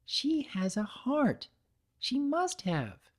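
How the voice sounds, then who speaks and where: A woman voicing a gentle, protective young female cartoon pony in a story reading, soft, breathy and hesitant. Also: sits high, wildly swooping pitch, very slow, pitched above the performer's own voice, exaggerated voice. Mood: distressed.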